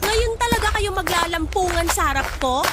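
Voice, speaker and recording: Woman acting a very high-pitched furious daughter. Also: expressive, brisk, very noisy. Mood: angry, defiant. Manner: indignant, sharp-tongued, defiant. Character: angry grown daughter confronting her father and his partner. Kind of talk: Filipino radio drama acting. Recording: loud music bed.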